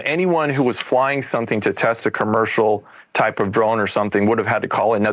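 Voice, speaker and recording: A man's voice: mid-range voice, fairly bright tone, no echo. An American man speaking as an expert guest, explaining a case in a conversational interview. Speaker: expert guest, UFO investigator and former federal agent.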